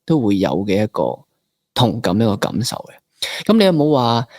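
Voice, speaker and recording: A man's voice: medium pitch, very animated, moderate pace, darkish tone, no room sound, studio quality. A man in his late twenties with a Hong Kong Cantonese accent, hosting a conversational interview reflectively and slipping in English words. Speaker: interviewer, fellow actor.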